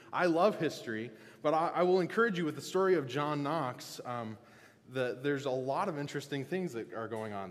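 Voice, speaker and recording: A male pastor giving a casual, joking sermon, often restarting and filling pauses with "um". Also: fairly high pitch. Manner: relaxed, joking, halting with fillers. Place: church sanctuary. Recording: long reverb of a church hall.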